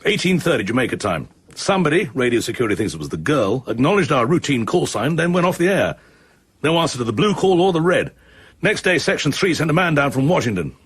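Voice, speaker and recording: English chief of staff in a radio play, quick n husky, dry matter-of-fact, close dry sound. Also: male voice, a little high, very animated, fairly bright tone, no room sound, full character voice. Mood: puzzled, businesslike. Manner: matter-of-fact, precise briefing. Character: dry, efficient British military chief of staff. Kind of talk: radio drama acting.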